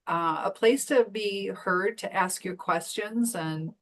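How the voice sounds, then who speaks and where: A woman's voice: medium pitch, slow, a little hiss or hum, low-quality recording. A woman talking casually as an interview guest on a video call, chatty and full of fillers. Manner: chatty, many fillers and restarts. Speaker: community founder guest.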